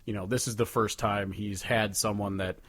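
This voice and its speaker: A man's voice: lowish voice, fairly even intonation, moderate pace, a bit bright, no echo. A man co-hosting a casual music podcast, talking in an informal, rambling, sweary way. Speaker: podcast co-host.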